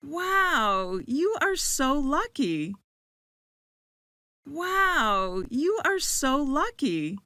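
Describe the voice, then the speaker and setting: A woman playing a very slow, friendly new student. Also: sits high, very animated, bright, forceful tone, no echo, clean studio sound, full character voice. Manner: slow, deliberate, each line said twice. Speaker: student in a scripted learner dialogue. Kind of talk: slow scripted dialogue for English learners.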